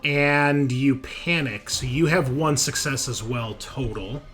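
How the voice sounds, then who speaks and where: Male game master, mid-pitched, works through rules and outcomes aloud, music playing clearly underneath. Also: takes it slowly, a bit bright. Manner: thinks aloud while adjudicating rules. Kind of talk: narrating a tabletop roleplaying game.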